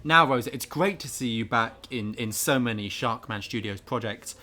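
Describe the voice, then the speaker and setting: A man hosts a comic podcast in a medium-pitched voice. He is deadpan and agreeable, treating a mock interview with earnest interest. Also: normal speed. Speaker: podcast co-host.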